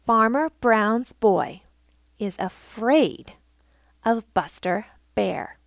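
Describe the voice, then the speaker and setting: A woman voicing a proud, excitable little male otter from a storybook, emphatic and provoking. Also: fairly high pitch, a little slow, no room sound. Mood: slow, provoking.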